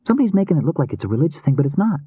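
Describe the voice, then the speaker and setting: A man's voice: on the high side, very animated, very fast, slightly rough, dry recording, phone-quality sound, pitched above the performer's own voice. A man voicing an inquisitive, quirky young woman with slangy, eager, casual speech in an audiobook. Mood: earnest. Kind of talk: audiobook character dialogue.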